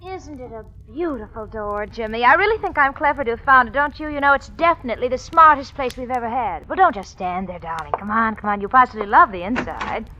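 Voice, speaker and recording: An actress playing a bossy, falsely sweet social-climbing wife in a 1940s radio drama, gushing and affected. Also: female voice, fairly high pitch, expressive, fast, hardly any pauses, sharp tone. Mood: gushing, breezy. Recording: noisy, muffled 1940s radio recording.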